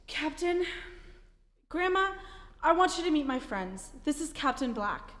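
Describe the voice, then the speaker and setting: A woman's voice: high-pitched, sing-song, unhurried, fairly bright tone, heavily acted. A woman playing an eager, respectful young male lieutenant in an old-time science fiction radio play. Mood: excited, joyful. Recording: echoey hall, live stage recording.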